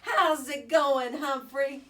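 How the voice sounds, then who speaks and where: A woman's voice: high voice, steady tone, slow, pushed and bright, recorded from a distance, pitched above the performer's own voice, full character voice. A woman voicing a cheerful, friendly male school custodian in a storybook, hearty and chummy.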